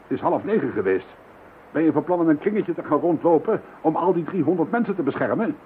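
A man's voice: a little high, very animated, fast. A man playing a sceptical, matter-of-fact police inspector in an audio drama. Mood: grim. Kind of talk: Dutch audio drama acting. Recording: thin phone-like sound, faint noise.

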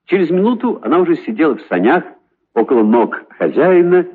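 A man narrating a children's story in an expressive, scripted storytelling style. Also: on the high side, fairly quick, husky, phone-quality sound.

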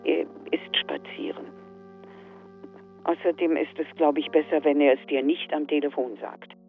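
A woman's voice: sits low, steady tone, normal speed, phone-quality sound, soft background music. An actor playing a worried, evasive elderly mother speaking to her grown son in a German radio drama. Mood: concerned, evasive. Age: older.

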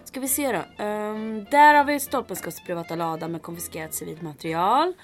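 A woman's voice: mid-range voice, soft background music, natural voice. Actress as bold woman captain in radio drama. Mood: focused, approving. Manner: brisk, commanding, dryly teasing. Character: bold, commanding woman resistance captain, dryly witty saboteur. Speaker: lead character, captain. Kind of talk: old-time radio drama acting.

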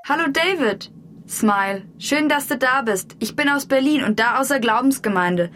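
Young female churchgoer, higher voice, chirpy, casual message reading, radio drama, slight noise. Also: lively intonation, moderate pace, fairly bright tone. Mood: welcoming.

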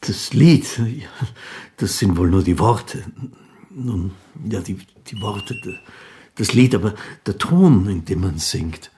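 A man's voice: on the low side, expressive, darkish tone, slight background noise. An actor playing a timid, courteous musician who tells his story in a hesitant, formal, literary way. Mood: shy, earnest. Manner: hesitant, halting, formally polite. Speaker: actor narrating as the title character. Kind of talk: literary radio drama, first-person narration and dialogue.

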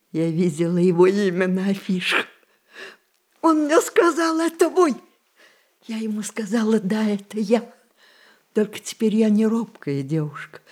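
A woman's voice: mid-range voice, darkish tone. A very old matriarch in a radio play, full of spirit and rebellion. She is imperious and theatrical, commanding and exclaiming with romantic fervour. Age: older. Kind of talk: stage-style radio play acting.

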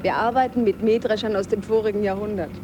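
An actress as a woman biologist in a radio play, reporting in a composed, matter-of-fact way as if dictating a letter. Her voice sits at a medium pitch, with a clear engine-like hum behind it. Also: fairly even intonation, fast, natural voice. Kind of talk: radio drama letter monologue.

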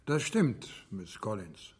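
An actor in a radio drama playing a calm, courteous male judge with quiet authority and a gravelly voice. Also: lowish voice, slow, full character voice. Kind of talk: German radio drama acting.

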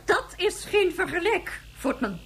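A woman playing an imperious high official in a radio drama, commanding, insistent and exclamatory. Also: big pitch swings, a little slow, creaky, a bit bright, slight background noise, exaggerated voice. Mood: defensive, insistent.

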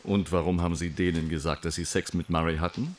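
An actor playing a blunt, sceptical interrogator in a radio drama, putting pointed questions. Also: male voice, low-pitched, fairly even intonation, fast, a little hiss or hum, lightly acted. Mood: probing. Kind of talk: German radio drama dialogue.

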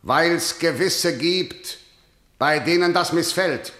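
A man's voice: a little high, takes it slowly, pushed and bright. A man playing a stern, imperious ruler in a German verse radio drama, declaiming harsh law with commanding authority. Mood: menacing authority. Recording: echoey hall with long reverb.

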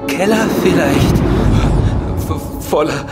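An actor playing a haunted, stammering prisoner patient in a psycho-crime audio drama. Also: male voice, on the high side. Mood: fearful, halting. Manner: stammering, halting. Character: frightened, halting male prisoner patient, haunted and confused. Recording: studio drama under loud music. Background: loud dramatic music.

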